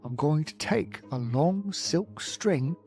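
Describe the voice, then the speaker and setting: A narrator doing a calm, thoughtful young boy. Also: mid-range voice, slow pace, faint music behind, voice pushed up. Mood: firm. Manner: hesitant then firm.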